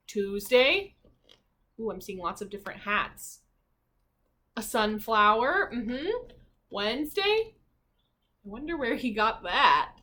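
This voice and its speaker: A woman teacher reading a children's storybook aloud expressively, pausing to comment and ask questions. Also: on the high side, bright, forceful tone.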